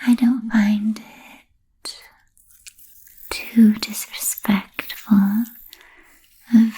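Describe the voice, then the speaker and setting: A woman playing an ancient, refined, playfully macabre vampire in a whispered ASMR roleplay, speaking softly and formally with trailing pauses. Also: mid-range voice, exaggerated voice, poor audio. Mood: gentle, wry. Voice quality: soft breathy whisper. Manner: slow, deliberate, archly formal with trailing pauses.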